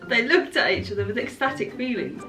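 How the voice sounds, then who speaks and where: Giggly higher-voiced woman in an echoey room. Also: lively intonation, brisk, sharp tone, far from the mic. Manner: giggly, often breaking into laughter. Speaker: vlogger reading generated stories. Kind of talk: playful dramatic reading and banter. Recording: echoey room with music behind.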